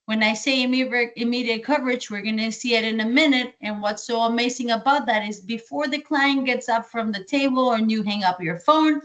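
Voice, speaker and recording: High-voiced Colombian woman, sales trainer, lively, repeats key points. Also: brisk, few pauses. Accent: Colombian Spanish. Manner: energetic, repeats key points, asks and answers own questions. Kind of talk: training presentation.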